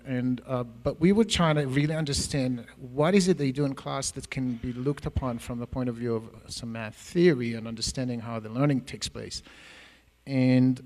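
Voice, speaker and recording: A man's voice: medium pitch, a little mellow, big-room sound. A middle-aged man with an Israeli accent, a Hebrew native speaker, speaking earnestly on an education panel, rambling with many fillers and restarts. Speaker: panelist, math education professor. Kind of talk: panel talk to an audience. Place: school auditorium.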